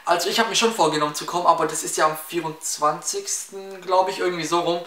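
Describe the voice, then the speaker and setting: A man's voice: sits high, fairly even intonation, fast, sharp tone. A seventeen-year-old young man with a Swabian accent answering viewer questions in a chatty, rambling way, full of fillers and restarts. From Baden-Württemberg, near Stuttgart. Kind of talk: casual Q&A vlog. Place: small room in front of window blinds, indoors. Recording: echoey room, slightly distant mic.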